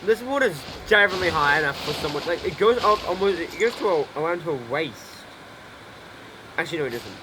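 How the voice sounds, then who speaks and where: A man's voice: a little high, expressive, fast talker, background noise. A man streaming a game, rambling through casual commentary, repeating himself and swearing freely. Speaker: gameplay streamer.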